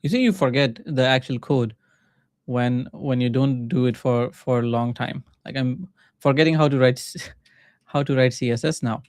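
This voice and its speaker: Male coding streamer, Pakistani accent, Urdu native. Clean, resonant mid-range voice, dry sound, informal halting think-aloud delivery. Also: mid-range voice, no echo. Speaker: livestreaming coder and mentor. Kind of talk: casual live coding commentary.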